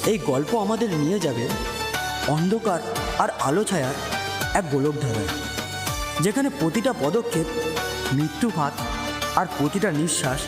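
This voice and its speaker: A man presenting a scripted, dramatic introduction to an audio story. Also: fairly high pitch. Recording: echoey, loud music behind.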